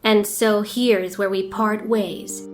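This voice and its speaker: A woman playing a cold, imperious young ninja clan leader in a motion comic, speaking in a haughty, measured, formal way. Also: medium pitch, steady tone, faint music behind, lightly acted. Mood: cold contempt. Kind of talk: motion comic villain monologue.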